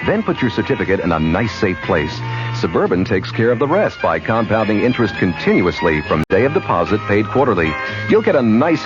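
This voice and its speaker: Upbeat male bank ad announcer. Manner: brisk, upbeat sales pitch. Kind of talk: 1970s radio bank commercial. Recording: dull old radio broadcast with music.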